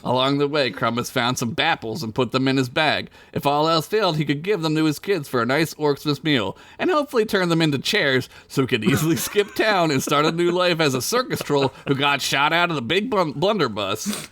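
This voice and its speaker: A man's voice: a little high, expressive, a little fast, fairly bright tone. A young man narrating an improvised comedic story in a crude, self-amused way, often breaking into laughter.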